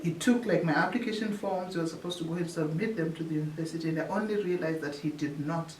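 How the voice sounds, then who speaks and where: Woman, halting, echoey distant mic. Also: low voice, darkish tone. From Zimbabwe. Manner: halting, often restarts phrases. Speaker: researcher telling her story. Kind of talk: casual interview storytelling. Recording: echoey room, distant mic, faint hiss.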